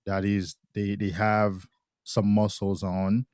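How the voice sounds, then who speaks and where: A man speaks low in his register, working through his thoughts slowly with many restarts. He sounds like a podcast guest in relaxed conversation. The recording is dry and close, with no room sound. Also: clear, resonant tone, no room sound. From Haiti. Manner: thoughtful, halting with frequent restarts. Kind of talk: casual conversation.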